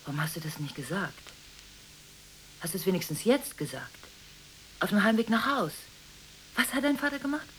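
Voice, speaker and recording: An actress playing a volatile, emotionally contradictory married woman making frantic phone calls in a radio drama, swinging abruptly between hushed and agitated delivery. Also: mid-range voice, expressive, moderate pace, long pauses, slight background noise, natural voice, low-quality recording. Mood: worried, reproachful, then agitated, insistent. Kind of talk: 1980s German radio drama monologue.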